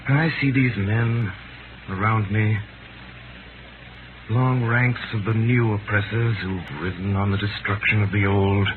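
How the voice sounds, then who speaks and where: Guy acting a doomed noble man, calm n solemn, real slow, old 1930s radio play, thin hissy sound. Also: lowish voice, lightly acted. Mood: solemn, resigned. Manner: solemn, measured, theatrical delivery. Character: condemned man, calm, tender, nobly resigned to death. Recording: scratchy, noisy, tinny 1930s radio recording.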